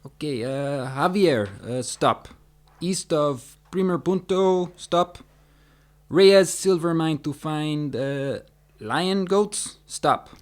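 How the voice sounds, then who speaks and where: An actor playing a helpful local man who speaks halting English in a radio drama. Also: a little high. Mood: careful.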